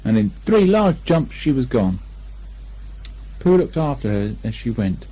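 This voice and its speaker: Mellow man narrating a children's story. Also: medium pitch, big pitch swings, unhurried. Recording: lo-fi amateur 1980 speech recording.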